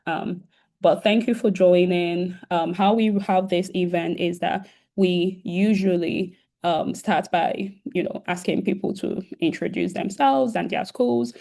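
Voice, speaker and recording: Woman host, sore throat, lower register with some vocal fry, heavy "um" fillers, video call. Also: steady tone, measured pace, warm tone. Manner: many "um" fillers, restarts, addresses students directly. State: sore throat, occasional coughing. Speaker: founder and host of a virtual career event. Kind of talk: casual welcoming talk on a video call.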